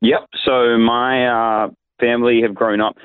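A man's voice: medium pitch, level tone, unhurried, clean tone, dry recording. An Australian man, a pastor being interviewed over a remote line, telling his own story in casual conversation. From Sydney, Australia. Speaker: interview guest, youth pastor. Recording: tinny narrow phone-like line.

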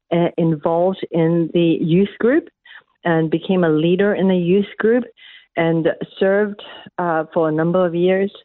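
A woman's voice: fairly low pitch, dry recording. A middle-aged woman from Malaysia, an academic guest answering interview questions, hesitant with many restarts. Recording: tinny phone line.

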